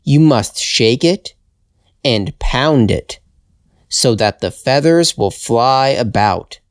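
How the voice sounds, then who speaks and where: A man voicing a kindly old magical woman in a fairytale, speaking gently and without hurry. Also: medium pitch, animated, takes it slowly, no echo, clean studio sound. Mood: kindly, instructing.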